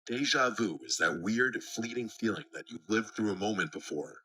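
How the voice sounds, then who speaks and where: An American man narrating scripted horror stories in a measured, serious storytelling manner. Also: lowish voice, expressive, sharp tone, slightly rough, low-quality recording. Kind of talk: scripted narration of scary first-person stories.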